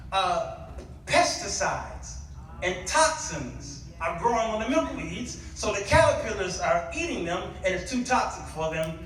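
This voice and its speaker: A man's voice: high voice, bright, forceful tone. A man preaching a church sermon, moving between conversational storytelling and emphatic exclamation. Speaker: guest preacher. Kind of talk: animated church sermon with call and response. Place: indoors at a church. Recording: echoey church hall, distant mic, faint noise.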